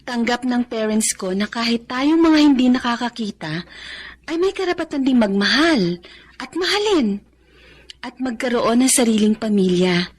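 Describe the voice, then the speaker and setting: An actress playing a gentle, devoted wife and mother in a radio drama, polite and earnest. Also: fairly high pitch, lively intonation, moderate pace, husky. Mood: contented, sure.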